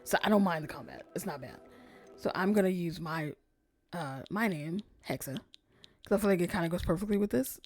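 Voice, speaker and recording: Soft-spoken woman streamer, lowish voice. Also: animated, a little fast. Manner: chatty, soft-spoken, often drops to near whisper. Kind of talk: casual gameplay commentary. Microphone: desk streaming mic.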